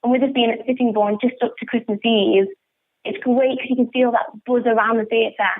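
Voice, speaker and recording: A woman's voice: a little high, fairly even intonation, fairly quick. Upbeat northern English woman on the phone. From northern England. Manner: enthusiastic, upbeat. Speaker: interviewed pantomime actress. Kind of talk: casual radio interview. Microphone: telephone. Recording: muffled, tinny phone line.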